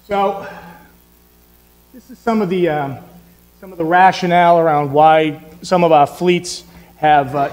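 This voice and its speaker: Middle-aged guy w/ boston accent, podium mic, kinda high voice, big echoey hall. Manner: friendly, talking from the podium. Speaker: conference speaker. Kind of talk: conference presentation. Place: hotel conference room. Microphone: podium microphone. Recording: reverberant conference hall.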